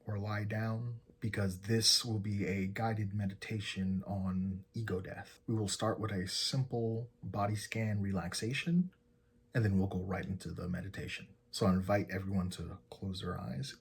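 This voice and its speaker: A man leading a guided meditation in a soft, breathy, husky voice, giving calm and gentle instructions with long pauses. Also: on the low side.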